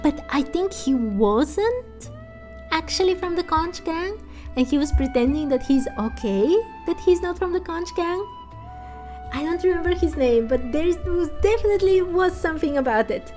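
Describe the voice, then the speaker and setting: A woman's voice: very high voice, lively intonation, moderate pace, darkish tone. A woman streamer giving casual, playful, giggly commentary as she plays through a game. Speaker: let's play streamer. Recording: music behind the voice. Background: music.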